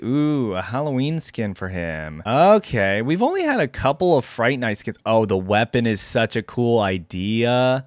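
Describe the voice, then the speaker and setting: A man's voice: medium pitch, no room sound, clean studio sound. A man hosting a casual reaction video, thinking aloud informally and hedging his opinions as he comments. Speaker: host of a reaction channel. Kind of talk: casual reaction commentary on game character skins.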